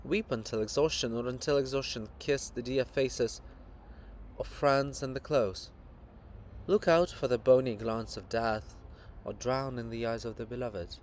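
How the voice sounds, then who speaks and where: A man's voice: medium pitch, steady tone, unhurried, slight background noise, natural voice. A man voicing a sly, grandiloquent poet monument, teasing and persuasive, with emphatic theatrical delivery in a dramatic reading. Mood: urgent, fervent.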